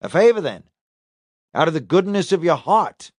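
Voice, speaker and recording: A man performs a male police detective in an audiobook interrogation. His pitch sits on the high side and swings widely as he needles and taunts. The sound is very dry. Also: sing-song, normal speed, no echo. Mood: sarcastic. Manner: probing, taunting.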